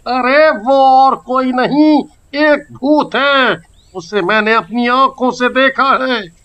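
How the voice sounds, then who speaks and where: A woman voicing a fussy male market shopkeeper in a cartoon story, talking slowly. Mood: worried. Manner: high, bright and slow.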